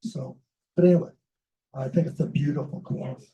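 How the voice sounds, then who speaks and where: A man speaking at a book event, relaxed and explanatory as he describes the book's making. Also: mid-range voice, normal speed, highs cut off, slight background noise.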